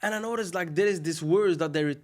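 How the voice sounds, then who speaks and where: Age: adult. Sex: man. Native: Arabic. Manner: halting. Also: high-pitched, a little mellow. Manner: searching for words, many restarts and fillers. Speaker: podcast host. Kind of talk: casual interview chat.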